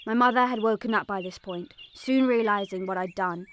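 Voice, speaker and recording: A woman playing an eager young Punjabi village girl, telling her story in expressive first-person dramatic narration. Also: medium pitch, fairly quick, slight background noise, natural voice. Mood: earnest.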